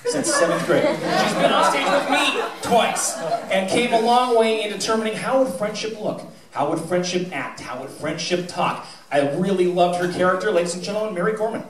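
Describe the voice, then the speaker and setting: A middle-aged American man speaks informally from a stage, announcing awards in a wry, folksy way. His voice sits a little high and rings through an echoey auditorium. It is picked up from a distance. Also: expressive, normal speed, over a noisy crowd. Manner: folksy, wry, teasing delivery. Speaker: high school theatre director announcing awards. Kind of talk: informal award-ceremony speech to an audience. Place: school theatre stage, indoors. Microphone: handheld mic on PA, captured by a distant camera. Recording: echoey auditorium recorded from far off, audience noise.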